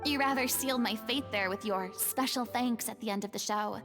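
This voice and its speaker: A woman's voice: fairly high pitch, fairly quick. A woman voicing a young, theatrical former stage star in a video game, speaking in a poised, formal way. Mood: reflective. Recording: game audio with loud background music.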